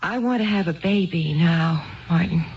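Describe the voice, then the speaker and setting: A woman's voice: lowish voice, normal speed, a little mellow, lightly acted. An actress playing an earnest, neglected but stubborn wife in a 1970s radio drama, plaintive and given to repeating her phrases. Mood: determined. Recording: dull lo-fi 1970s radio broadcast.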